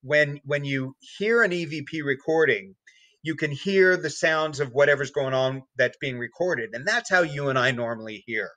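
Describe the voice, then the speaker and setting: A man's voice: fairly high pitch, normal speed, pushed and bright, no room sound. A man speaking as a podcast guest, explaining step by step in casual conversation with everyday comparisons.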